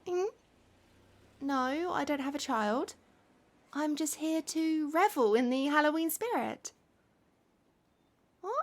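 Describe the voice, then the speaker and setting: A woman's voice: very high voice, heavily acted. A woman playing a lovestruck, possessive witch in an ASMR roleplay, cooing and coaxing with a condescending sweetness. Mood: flustered, pleading.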